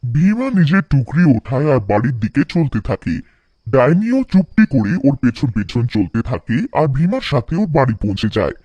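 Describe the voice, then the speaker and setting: Animated, mellow male storyteller. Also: medium pitch, moderate pace, breathy edge, no room sound, muffled recording. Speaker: narrator. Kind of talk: scripted children's folktale narration.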